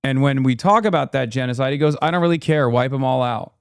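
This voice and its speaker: A man's voice: medium pitch, clear, resonant tone, no echo, clean studio sound. A man, a streamer giving combative, sarcastic political commentary while reacting to a clip. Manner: combative, sarcastic, rapid rebuttals.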